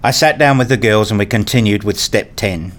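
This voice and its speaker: A man reading a scripted first-person story as narrator, in a measured, introspective manner. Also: fairly low pitch.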